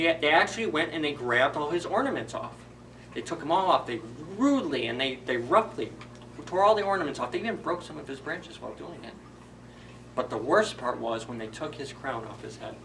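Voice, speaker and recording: Male children's storyteller, fairly high bright voice, conversational retelling, slightly distant recording with faint noise. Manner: simple repeated phrasing with questions to children. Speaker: library storyteller.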